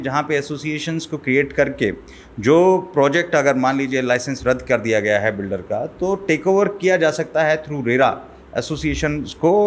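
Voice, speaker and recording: A middle-aged man as a guest expert, explaining step by step in a studio interview at a desk mic. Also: fairly high pitch. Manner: measured, explaining, code-mixes English terms. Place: small studio set. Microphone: desk mic on boom arm.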